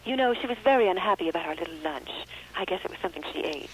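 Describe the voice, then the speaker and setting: An actress playing a scorned mistress in a comic radio play, speaking with controlled, pointed menace as a phone-in caller. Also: female voice, lowish voice, expressive, rapid-fire, a little hiss or hum, lightly acted, poor audio. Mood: cool, pointed. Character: scorned mistress calling in, calm, quietly menacing woman. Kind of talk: comic radio drama acting.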